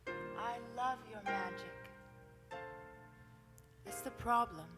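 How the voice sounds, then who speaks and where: A woman's voice: mid-range voice, slow, music in the background, close to an everyday voice. A woman playing a loving, pleading, impassioned romantic lead in a staged musical reading, in an echoey theatre. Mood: fond. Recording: echoey theatre hall with loud musical accompaniment.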